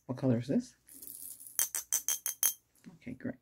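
A middle-aged man doing a soft-spoken ASMR doctor roleplay, murmuring unhurried questions close to the mic in a creaky, breathy voice. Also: music in the background. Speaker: ASMR performer playing an ER doctor examining a patient. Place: small indoor setup with cloth backdrop.

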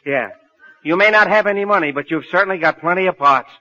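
A breezy con-man sidekick from 1940s radio comedy. His fairly high voice is brisk and slangy, always wisecracking. The old recording is muffled. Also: sharp tone. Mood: breezy, sly.

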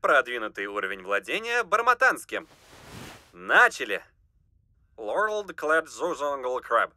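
Emphatic, slow cassette-course instructor. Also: male voice, mid-range voice, very animated, sharp tone, exaggerated voice. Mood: instructive. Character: instructive male language-course tape narrator. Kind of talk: instructional cassette narration in cartoon.

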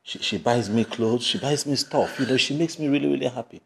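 A man playing a devoted, earnest grown son in a melodrama, speaking in halting, repetitive phrases. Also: medium pitch, natural voice. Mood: earnest, pleading. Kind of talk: melodramatic screen acting. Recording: clear background noise, room ambience.

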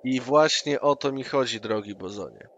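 A man's voice: medium pitch, moderate pace, a bit bright. A man playing his character at an online tabletop session, persuasive and scheming. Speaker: player. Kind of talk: in-character roleplay. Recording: dull online call audio with noise.

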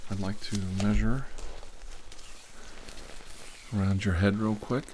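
A man playing a calm, probing doctor in an ASMR exam roleplay, speaking in a hushed, slow, reassuring way. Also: on the low side, a little hiss or hum, natural voice, poor audio. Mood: soothing. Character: calm, probing male doctor examining a suspicious patient.

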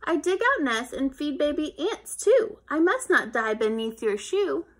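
Woman reading as a high-pitched, earnest little ant. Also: big pitch swings, unhurried. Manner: imploring, emphatic sing-song rhyme. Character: tiny pleading ant, earnest and plucky.

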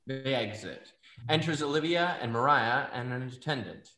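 A man's voice: medium pitch, moderate pace, sharp tone. A man reading stage directions in scripted narration for a play. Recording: echoey, noisy, distant call audio.

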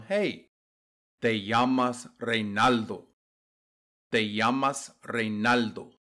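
A man teaching a beginner language lesson in voice-over, modeling each phrase slowly and deliberately for learners to repeat. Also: mid-range voice, fairly bright tone. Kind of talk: instructional lesson narration.

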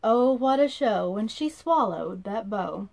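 A woman in her thirties reading a rhyming storybook aloud to children in an expressive, sing-song way, recorded in a room at about arm's length from the device. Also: a little high, measured pace, gravelly. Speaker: children's read-aloud host. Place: small indoor room.

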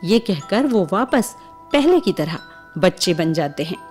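A woman's voice: lowish voice, big pitch swings, a little slow. A woman narrating a scripted bedtime story for children as the storyteller. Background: music with chimes.

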